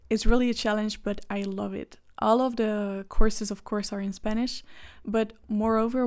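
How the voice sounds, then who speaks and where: A young woman with a Belgian Dutch accent talks to camera about her life, with a native Dutch speaker's lilt. Her pitch stays level and even, with little rise or fall. She sounds earnest and easygoing, leaning on little fillers as she goes. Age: 20s. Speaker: vlogger. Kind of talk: personal storytelling to camera.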